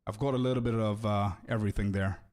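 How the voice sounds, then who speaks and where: A young man streaming a video game and chatting casually with viewers, loose and friendly, often calling them bro and repeating words as he thinks out loud. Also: on the low side, fairly even intonation, fast talker. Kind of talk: casual live-stream chat, answering viewers.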